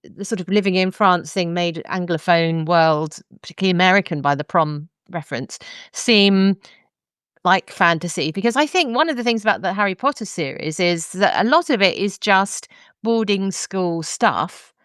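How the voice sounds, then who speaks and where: A woman hosting a podcast interview, asking measured, thoughtful questions. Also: fairly low pitch, expressive, a bit bright, clean tone, no room sound, studio quality. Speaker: podcast host.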